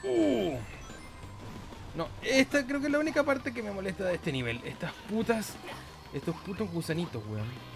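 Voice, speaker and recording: Man, Chilean accent, fairly high voice, joking sweary gamer commentary, faint game music behind. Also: big pitch swings, moderate pace, creaky. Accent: Chilean Spanish. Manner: playful, joking, sweary, with silly sound effects and singsong. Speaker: gaming YouTuber. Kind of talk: casual gameplay commentary. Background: video game music.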